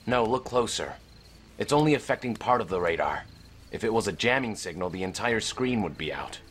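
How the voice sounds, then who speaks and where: A low male voice as a young special-forces sergeant in a radio drama. He is cocky and casual, with a wry wisecracking way of talking over faint background noise. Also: sing-song, fairly quick, fairly bright tone. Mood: alert, uneasy. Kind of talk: audio drama acting.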